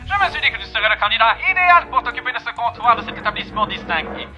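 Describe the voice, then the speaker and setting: A man voicing a grinning, theatrical demon gentleman with mocking politeness in an amateur cartoon fan dub. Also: very high voice, expressive, rapid-fire, muffled recording, soft background music, heavily acted. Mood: smug cheer. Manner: theatrical, mannered, falsely courteous.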